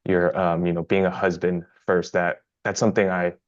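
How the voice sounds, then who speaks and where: A man's voice: deep voice, level tone, moderate pace, no echo, muffled recording. A man interviewing a guest, earnest and affirming, often repeating words as he speaks. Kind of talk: supportive interview conversation.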